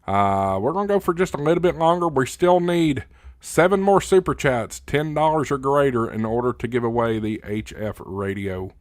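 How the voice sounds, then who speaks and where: A man's voice: medium pitch, moderate pace, no room sound, clean studio sound. A man hosting a casual live Q&A, reading out viewer comments and answering in a folksy, plain-spoken way. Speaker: livestream host.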